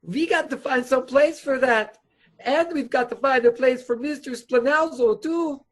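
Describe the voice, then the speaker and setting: A high-voiced, nervous crooked doctor in a radio comedy, played by a woman. The delivery is slow, hesitant and placating, in broken English, like a tipsy henchman soothing a boss. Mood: anxious. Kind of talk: stage comedy radio acting.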